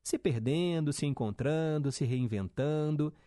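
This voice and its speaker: A man's voice: fairly high pitch, unhurried, mellow, no echo, clean studio sound. A young male radio host, chatting casually and reading listener messages with upbeat teasing asides.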